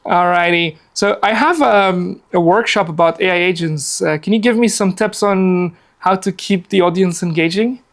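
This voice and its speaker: A man's voice: high-pitched, moderate pace, few pauses. A man in his forties from Afghanistan giving a casual live workshop presentation in a meeting room. He talks in a chatty, upbeat way with many fillers, seated at a laptop and recorded by a camera across the room.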